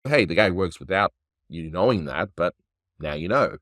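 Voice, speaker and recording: A man hosting a casual cartoon review, talking in a relaxed, offhand way and chuckling often. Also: sits low, normal speed, dry recording, studio quality. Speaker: animation reviewer, host.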